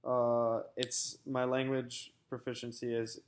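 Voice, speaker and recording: A young American man, a graduate student, giving a recorded personal statement in a halting, thoughtful way with many fillers and restarts. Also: mid-range voice, completely flat delivery, slight background noise. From United States.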